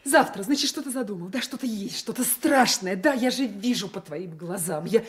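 An actress playing an anguished, fearful young peasant wife in a radio drama, with a very breathy voice. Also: female voice, mid-range voice, lively intonation, moderate pace. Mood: alarmed, pleading. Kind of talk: Soviet 1980s radio drama acting.

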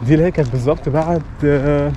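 A man's voice: on the high side, steady tone, racing, warm tone. A man with a Cairo Egyptian accent giving casual, unscripted walking-tour commentary as a vlog host, mixing English words into his Arabic. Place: outdoors walking along residential villa streets. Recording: noisy outdoor street with passing vehicles.

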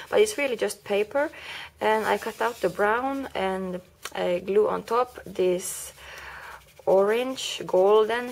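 A woman's voice: mid-range voice, creaky. A woman with a cold and a slightly rusty voice, chatting through a card-making tutorial step by step and correcting herself along the way. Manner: chatty, explains step by step with small self-corrections. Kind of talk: casual craft tutorial. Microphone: camera mic over a craft table, hands only.

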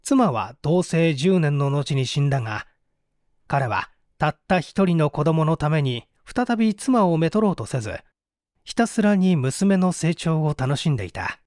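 Male audiobook narrator, higher-set voice, very animated intonation, dramatic mystery reading. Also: unhurried, no room sound, studio quality. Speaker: audiobook narrator, stage actor.

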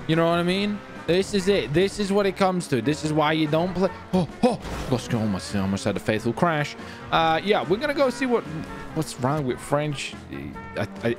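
A man giving excitable, exclamatory gaming commentary as the host of a roleplay video. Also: high-pitched. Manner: animated, exclamatory, swearing.

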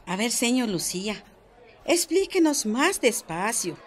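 An actress playing a chatty, cautious village grandmother in a radio soap, with a Guatemalan accent and an expressive, folksy way of talking. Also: fairly high pitch, measured pace, some chatter behind. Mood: questioning. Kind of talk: radio soap opera acting.

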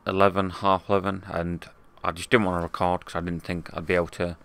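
Hoarse low-voiced young man. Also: little pitch movement, fast talker, a bit bright. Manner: chatty, swearing, wry. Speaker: gaming YouTuber. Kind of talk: casual let's play commentary.

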